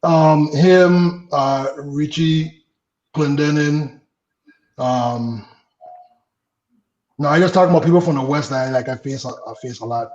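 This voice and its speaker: A man in his late thirties from the Virgin Islands tells stories in a loose, easygoing way, with easy laughs and plenty of fillers. His voice sits a little high for a man. The recording echoes and sounds dull and lo-fi. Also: unhurried, long pauses. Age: late 30s. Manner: laughs easily, relaxed, trails off with fillers. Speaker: guest, former baseball pitcher. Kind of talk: casual interview storytelling.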